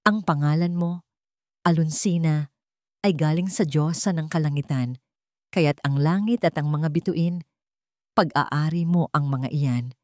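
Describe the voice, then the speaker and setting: A woman playing a tender, proud military father who speaks gently to his little daughter in an audio story. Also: sits low, measured pace, pauses often, no room sound, studio quality.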